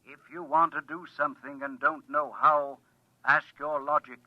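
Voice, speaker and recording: A man's voice: fairly high pitch, fairly even intonation, moderate pace, a little hiss or hum. A man playing a talking advice machine in an old radio drama, precise and impersonal like an announcer, through a small speaker. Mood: impersonal, matter-of-fact. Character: talking machine coolly dispensing deadly advice. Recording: dry, muffled old radio recording.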